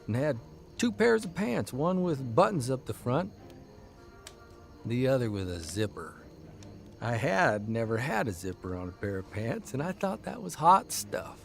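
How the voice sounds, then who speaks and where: Grumpy 1930s newspaper husband for audio drama: exasperated, folksy, very animated delivery, gravelly edge. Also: male voice, on the high side, full character voice.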